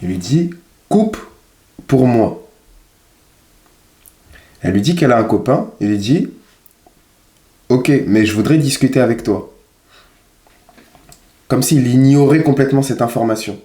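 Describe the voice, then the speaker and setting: A man's voice: mid-range voice, expressive, a little slow, pauses often, warm tone, reverberant. A man giving casual, critical commentary as a dating coach, emphatic and repeating phrases for stress. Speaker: dating coach reviewing footage.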